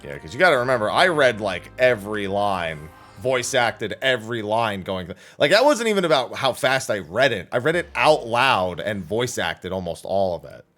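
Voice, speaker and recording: A man's voice: fairly low pitch, big pitch swings, normal speed, few pauses, fairly bright tone, soft background music. A man giving casual, rambling livestream commentary as he plays a game, thinking aloud. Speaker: livestream gamer and host.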